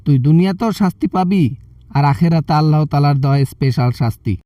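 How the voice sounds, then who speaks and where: A man's voice: a little high, quick pace, warm tone, dry recording, studio quality, distant mic, close to an everyday voice. A man with a Bangladeshi accent playing a self-righteous member of parliament who scolds and threatens condescendingly in a comic phone-call skit. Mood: righteous threatening. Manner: berating, repeatedly addressing the listener condescendingly.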